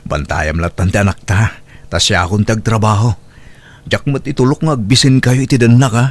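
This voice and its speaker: A man's voice: fairly low pitch, normal speed, close to an everyday voice. An actor with an Ilocano Filipino accent playing a hard-working, apologetic young husband and father in an audio drama. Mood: earnest. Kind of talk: dramatic radio-style drama acting in Ilocano.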